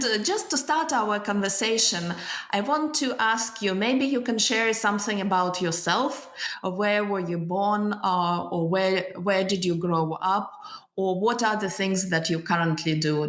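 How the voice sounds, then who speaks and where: A woman's voice: mid-range voice, a little slow, roomy sound. A woman hosting a podcast interview, polite and hesitant, asking questions with many fillers. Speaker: podcast host. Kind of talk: conversational interview.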